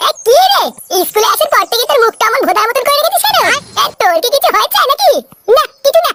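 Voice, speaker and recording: A performer voicing a cheeky cartoon comedy character with an exaggerated, squeaky pitched-up voice. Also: big pitch swings, fast, dry recording, heavily acted. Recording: loud background noise behind processed voice.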